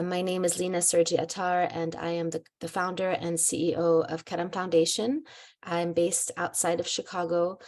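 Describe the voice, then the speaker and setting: A woman raised between Syria and the US speaks as a nonprofit founder on an online panel. Her voice sits low and stays fairly level in pitch. She talks in a friendly, conversational way and sometimes restarts a phrase. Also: darkish tone. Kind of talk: conference presentation. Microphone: video call.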